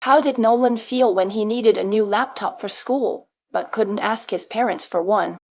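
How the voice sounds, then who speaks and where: A woman's voice: mid-range voice, moderate pace, darkish tone, dry recording. Woman reading scripted lesson narration, dry sound. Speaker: question-and-answer presenter for English learners. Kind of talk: scripted question-and-answer narration.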